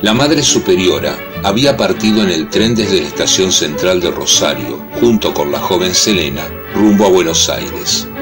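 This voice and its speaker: A man's voice: deep voice, lively intonation, moderate pace, few pauses, over loud music. A man with an Argentine accent narrating a scripted radio soap opera.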